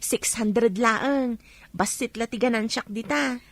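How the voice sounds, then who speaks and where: A woman's voice: on the high side, takes it slowly, a bit bright, no room sound, full character voice. A woman with an Ilocano accent playing a cheerful, chatty village clothing peddler with eager sales patter in a radio drama. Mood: eager selling.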